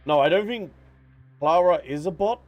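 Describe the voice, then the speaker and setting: A man's voice: a little high, normal speed, dull audio, soft background music. A man livestreaming a game, chatting casually and playfully as he reads and answers viewers. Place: behind: game music.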